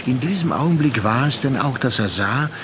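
An actor narrating a literary radio play as a grave, reflective storyteller with measured delivery. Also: male voice, medium pitch, animated, noisy background, lightly acted.

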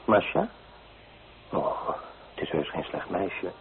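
Kindly fatherly journalist, lowish voice, consoling, old radio drama w tinny phone-like sound. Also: big pitch swings, slow pace, exaggerated voice. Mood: soothing. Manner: reassuring, paternal, murmured hms. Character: kindly, fatherly male newspaper writer, consoling friend. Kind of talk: Dutch radio play acting.

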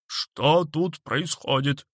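A man's voice: a little high, slow pace, fairly bright tone, no room sound, clean studio sound. Storybook policeman played by a male narrator, stern and officious, barks formal questions.

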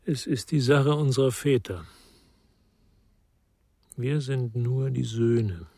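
Gravelly, husky middle-aged therapist. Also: male voice, mid-range voice, dark tone, dry recording, clean studio sound. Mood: sober, reserved. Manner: measured, probing. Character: reflective, reserved middle-aged male psychotherapist. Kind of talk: naturalistic radio drama acting.

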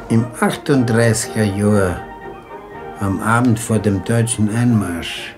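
An actor playing a skeptical, stubborn Viennese caretaker in a German radio play, deliberate and ruminating, with a Viennese accent. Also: male voice, on the low side. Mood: wry, doubting.

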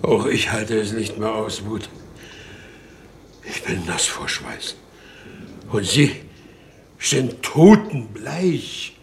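Slow, deliberate delegate. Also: male voice, on the low side, expressive, gravelly, full character voice. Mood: exhausted. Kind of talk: 1950s German radio play acting. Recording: noisy 1950s radio recording.

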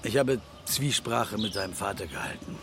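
A man's voice: fairly low pitch, normal speed, lightly acted. Seasoned criminal mentor, played by a man, music behind. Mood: probing, measured. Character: seasoned male criminal mentor, reassuring but cautionary. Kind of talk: radio drama acting.

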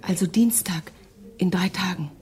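An actress playing a soft, hesitant woman devoted to her lover in a German radio drama, often half-whispering. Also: fairly low pitch, unhurried, a crowd in the background, close to an everyday voice. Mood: anxious, loving.

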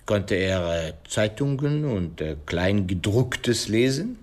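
An actor playing a shrewd, courteous detective in a radio play, speaking formally and deliberately with French phrases and musing hesitations. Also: male voice, lowish voice, very animated. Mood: probing. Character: shrewd, courteous male detective, pensive and probing.

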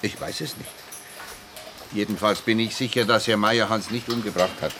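A retired cop, older man, very low voice, dry and gruff, radio drama with faint ambience. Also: steady tone, moderate pace, pushed and bright, slight background noise. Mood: dry, reserved. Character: gruff, calm older retired policeman, a grandfather. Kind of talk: radio play acting.

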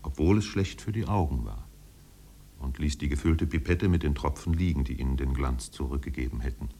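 An actor as the narrator of a 1950s German literary radio play, telling the story in a measured, precise, composed way. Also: male voice, a bass voice, dark tone, husky, full character voice.

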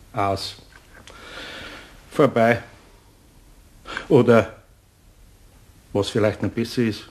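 An actor playing an older master carpenter with a Bavarian accent, gruff and resigned, muttering hesitantly in a German radio play. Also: male voice, medium pitch, natural voice.

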